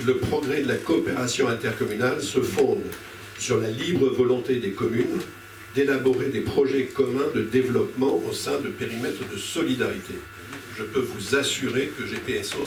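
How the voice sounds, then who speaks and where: A man speaking off the cuff as a panelist at a local political debate, arguing his case in a halting way and often rephrasing mid-sentence. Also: mid-range voice. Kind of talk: impromptu political speech to a public meeting. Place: indoor public meeting room. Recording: echoey room, distant mic, clear background noise.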